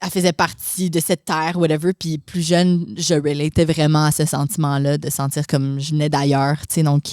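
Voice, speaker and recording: A woman's voice: low voice, flat delivery, moderate pace, darkish tone, dry recording, clean studio sound. A young woman with a Québécois accent, a podcast guest chatting casually and dropping English phrases. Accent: Québécois French. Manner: chatty, mixes English expressions, laughs often. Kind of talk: casual conversation.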